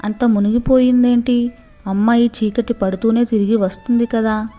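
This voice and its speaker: A woman's voice: fairly high pitch, fairly even intonation, moderate pace, warm tone, natural voice. A woman storyteller voicing an emotional, worried village mother in a children's story. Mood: protesting. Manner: emotional, lamenting.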